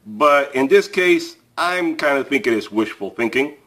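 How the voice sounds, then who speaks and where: A man's voice: mid-range voice, expressive, unhurried, a bit bright. A man hosting a tool review channel, talking casually to the camera with plenty of fillers and restarts while handling a tool on a table. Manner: chatty and plain-spoken, frequent fillers and restarts. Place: indoors at a table, filmed from above.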